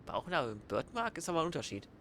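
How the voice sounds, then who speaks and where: A man's voice: fairly high pitch, sing-song, rapid-fire, fairly bright tone, slight background noise. A man giving casual commentary as he plays through a video game. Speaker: let's play commentator.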